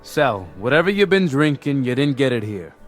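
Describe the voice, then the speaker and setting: An actor playing a skeptical, wisecracking bartender in a television drama, sardonic in delivery. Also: male voice, medium pitch, big pitch swings, normal speed, faint music behind, lightly acted. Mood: suspicious.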